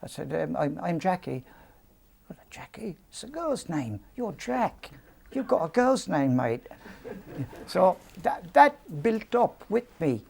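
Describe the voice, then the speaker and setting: A man's voice: sits high, big pitch swings, slow pace, creaky, a little mellow. A man in his seventies with a Cork Irish accent, telling rambling, self-deprecating stories from his youth as an interview guest, with many ums and restarts. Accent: Cork Irish, softened by years in London. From Cork, Ireland. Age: 70s. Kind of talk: storytelling reminiscence.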